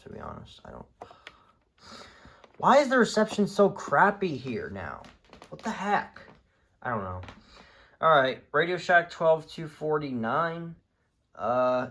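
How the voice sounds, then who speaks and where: A man's voice: mid-range voice, fairly bright tone. Casual, rambling man showing off his collection. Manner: informal, rambling, easily exasperated. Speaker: hobbyist video creator presenting a radio collection. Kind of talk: casual unscripted show-and-tell chat.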